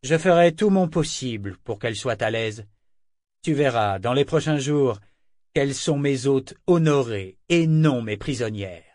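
A man voicing a scheming pirate captain who speaks in a hearty, falsely warm way. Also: medium pitch, lively intonation, unhurried, no echo, clean studio sound, close to an everyday voice.

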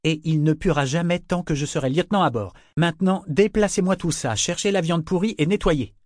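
Man voicing a stern, high-pitched young woman officer. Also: moderate pace, no echo, studio quality, close to an everyday voice. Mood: stern, insistent. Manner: curt, commanding. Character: young woman ship's lieutenant, stern, commanding, defiant. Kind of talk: audiobook character voice.

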